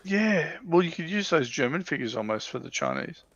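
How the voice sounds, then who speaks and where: A man's voice: fairly high pitch, big pitch swings, moderate pace, a bit bright, dry recording. A man chatting casually as a livestream co-host in a rambling, enthusiastic way, on a lo-fi microphone. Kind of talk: casual hobby chat. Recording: dry, dull lo-fi microphone.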